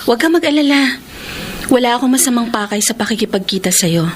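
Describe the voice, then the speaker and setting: A woman's voice: on the high side, lively intonation, quick pace, slight background noise, natural voice. A woman acting a loving, self-sacrificing, heartbroken girlfriend in a sentimental radio drama, earnest, tender and plaintive. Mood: subdued. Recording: radio drama with music underneath.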